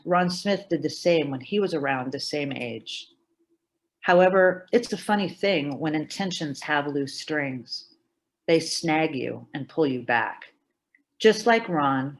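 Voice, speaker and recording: A middle-aged woman reads her own personal essay dramatically, with deliberate pauses and emphatic stresses. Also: sits low. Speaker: writer reading own work.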